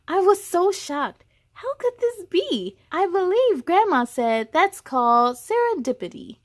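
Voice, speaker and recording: A woman reading a storybook aloud as a children's host, animated and speaking directly to young listeners. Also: extremely high pitch, measured pace. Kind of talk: expressive storybook read-aloud with chatty asides to children.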